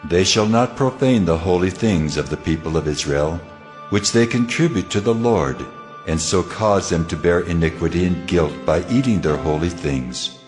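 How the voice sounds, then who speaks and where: An actor playing God as a solemn, commanding lawgiver in a dramatized scripture reading. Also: male voice, deep voice, moderate pace, a little mellow, lightly acted. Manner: solemn, declarative. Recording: long cavernous reverb, music underneath. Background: music.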